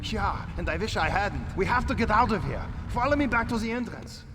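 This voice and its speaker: An actor playing a weary, uneasy rank-and-file soldier in a game cutscene, complaining. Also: male voice, high voice, expressive, a little fast, in a large hall. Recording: clear noise behind the voice.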